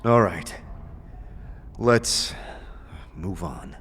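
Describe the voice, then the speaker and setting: A man's voice: deep voice, very slow, fairly bright tone, long reverb tail, a little hiss or hum, pitched below the performer's own voice, full character voice. Man acting a terse fantasy adventurer. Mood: subdued, concerned. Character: axe-wielding male adventurer, the party's leader, brusque. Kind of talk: fantasy audio drama dialogue.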